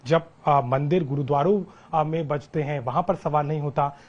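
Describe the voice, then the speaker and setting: A man questions an interviewee as a field reporter. His voice is husky and a bit high, and the recording sounds dull and muffled. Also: normal speed, darkish tone.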